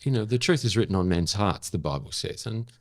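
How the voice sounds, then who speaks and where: A seventy-year-old Australian man talking reflectively as an interview guest, thoughtful and halting, repeating words for emphasis. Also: lowish voice, lively intonation, no echo, studio quality. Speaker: interview guest, former journalist and actor.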